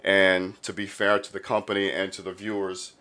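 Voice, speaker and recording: A man's voice: low voice, flat delivery, normal speed, sharp tone. A man hosting a podcast in a casual, laid-back, informal interview style. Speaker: podcast host.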